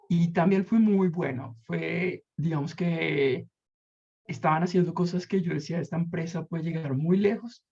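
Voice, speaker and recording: Colombian guy in his thirties, raspy voice with fry, muffled lo-fi take, rambling interviewee. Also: on the high side, mellow. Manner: rambling, reflective, many fillers and restarts. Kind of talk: casual storytelling interview. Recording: muffled lo-fi recording.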